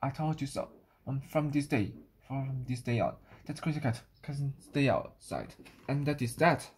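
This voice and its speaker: A woman's voice: rumbling low, animated, measured pace, darkish tone, a little hiss or hum, voice pushed down. A woman voicing a wary, scolding mother in a children's story, firm and full of warning. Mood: stern.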